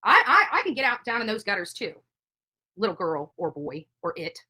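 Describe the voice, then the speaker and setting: A woman's voice: mid-range voice, very animated, moderate pace, bright, forceful tone, husky. A woman live streamer ranting emphatically and indignantly, repeating herself for emphasis. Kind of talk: impassioned live stream rant.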